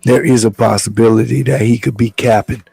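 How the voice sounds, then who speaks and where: Hoarse, gravelly-voiced male talk host. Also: mid-range voice, fairly even intonation, dark tone, no room sound, faint music behind. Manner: measured, loose, repeats words as he talks. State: hoarse from the flu. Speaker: show host. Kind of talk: casual talk show hosting.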